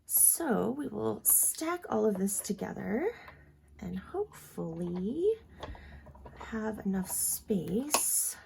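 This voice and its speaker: A woman's voice: medium pitch, animated, slow pace, gravelly, background noise. A woman hosting a crafting tutorial at home, chatting casually with lots of ums and asides, a little sniffly from allergies. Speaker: crafting channel host.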